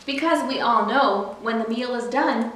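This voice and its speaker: A woman with a high voice reads a children's picture book aloud as a library storyteller. Her delivery is expressive and follows the rhymes. The recording is heavily echoey and sounds distant from the microphone. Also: moderate pace. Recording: very echoey room, distant mic, faint noise.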